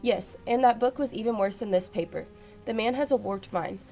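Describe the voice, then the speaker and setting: A woman's voice: a little high, brisk, mellow, natural voice. A woman playing an anxious, irritable British science secretary in a radio drama, speaking emphatically. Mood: suspicious. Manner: emphatic, old-fashioned British turns of phrase. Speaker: actress. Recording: faint music behind a student radio play.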